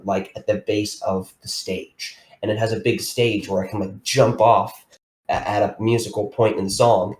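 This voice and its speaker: A young man co-hosting a casual podcast, telling stories in a rambling, animated way with many restarts. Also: lowish voice, low-quality recording. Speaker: podcast co-host. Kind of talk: casual chat, storytelling.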